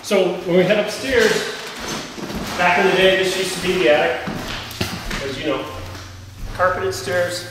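Male realtor, forties, casual thinking-aloud tour talk, very echoey empty rooms, clear background noise. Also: high voice, unhurried, long pauses. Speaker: realtor giving a house tour. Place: empty rooms inside a house. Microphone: camera mic at a few metres. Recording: echoey bare rooms, distant mic, noisy.

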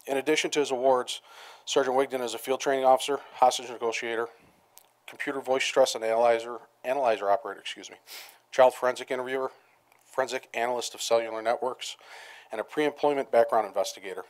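Brisk man reading a formal commendation. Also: medium pitch, steady tone. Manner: brisk, reads with small restarts. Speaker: police official reading a commendation. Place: town hall meeting room at a podium. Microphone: podium microphone.

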